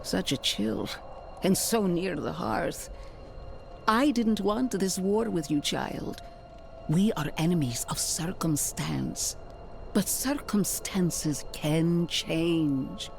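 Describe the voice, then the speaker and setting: A woman playing a stern, regal older church leader in a dark fantasy video game, speaking formally and deliberately. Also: on the low side, animated, creaky, exaggerated voice. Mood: cool, imperious. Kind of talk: dramatic video game acting. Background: cinematic background music.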